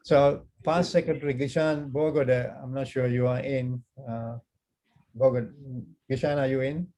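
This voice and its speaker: A man's voice: medium pitch, fairly even intonation, normal speed, dark tone. A man with a Sri Lankan accent informally moderating a webinar and introducing board members on a video call.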